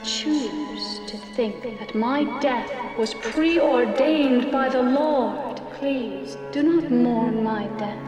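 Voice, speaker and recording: A gentle, solemn ghost who pleads slowly and with calm conviction. Her unhurried voice sounds from far off in a long, echoing hall, with music playing clearly underneath. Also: on the high side. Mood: pleading. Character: ghost of a murdered gentle woman. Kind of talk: 1990s game cutscene acting. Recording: distant echoey hall with music.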